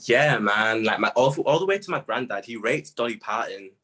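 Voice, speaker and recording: A young man chatting casually in an interview, telling stories in an animated, slangy way with plenty of "bro" and "man". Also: medium pitch, moderate pace, husky. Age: young adult. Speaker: music artist being interviewed. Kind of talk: casual chat.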